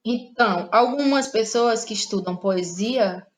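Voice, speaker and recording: A woman with a Cearense accent from northeastern Brazil talks in a fairly high voice. She is a playwright and actress being interviewed, reflective and conversational, and she often ends phrases with a little tag seeking agreement. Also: a little slow. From Ceará, northeastern Brazil.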